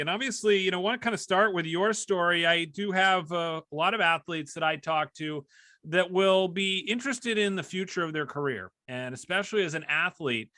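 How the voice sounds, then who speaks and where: A man's voice: on the high side, pushed and bright, no echo, studio quality. A man hosting a podcast interview in a conversational way. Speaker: podcast host and hockey broadcaster.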